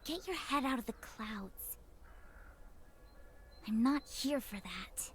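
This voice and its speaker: A woman's voice: on the high side, lively intonation, slow, slight background noise. A woman playing a dreamy, awkward young female visitor in a visual novel, with a hesitant, musing manner. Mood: self-chiding. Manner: hesitant, soft-spoken, musing. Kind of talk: visual novel voice acting, inner monologue and dialogue.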